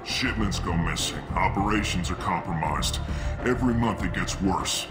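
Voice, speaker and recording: An actor playing a gruff mercenary recruiter who talks in a blunt, businesslike way. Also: male voice, low voice, moderate pace, natural voice. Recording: game cutscene with music behind.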